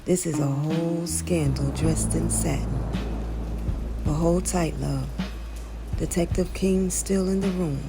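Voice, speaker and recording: A sultry noir storyteller played by a woman speaks slowly in a low, gravelly voice. She is teasing, intimate and knowing, with music and rain behind her. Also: steady tone, full character voice. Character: sultry, knowing female late-night storyteller. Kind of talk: slow noir interlude narration.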